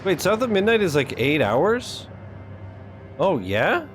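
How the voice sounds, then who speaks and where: A man's voice: sits high, wildly swooping pitch, slow, soft background music. Slangy man reacting to a video. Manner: slangy, sweary. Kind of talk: casual reaction chat.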